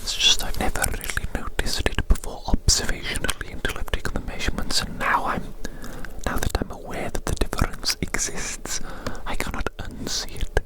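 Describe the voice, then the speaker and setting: A person performing an ASMR roleplay entirely in whispers, meticulous and unhurried, softly repeating phrases. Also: poor audio. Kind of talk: ASMR roleplay, whispered measuring and note-taking.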